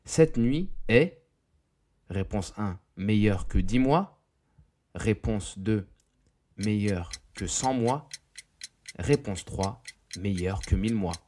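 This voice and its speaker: A man narrating a scripted educational quiz, reading questions and answers in a deliberate, didactic way. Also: fairly low pitch, expressive, dark tone, slight background noise.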